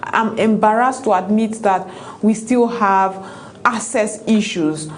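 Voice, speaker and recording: A woman talking on a panel about pan-politics, animated, with many fillers and restarts. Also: medium pitch, slow pace, slight background noise. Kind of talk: panel discussion.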